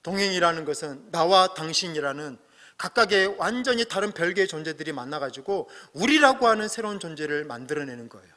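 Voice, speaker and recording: Bright high-voiced male pastor preaching. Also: expressive, brisk. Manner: earnest preaching with rising emphatic peaks and soft low asides. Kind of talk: sermon. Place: church sanctuary. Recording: long hall reverb.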